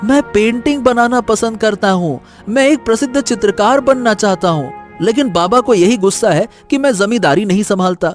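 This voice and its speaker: A man's voice: sits high, normal speed, hardly any pauses, faint music behind, lightly acted. A man playing a sulky, resentful younger brother who feels unloved, in a moral story.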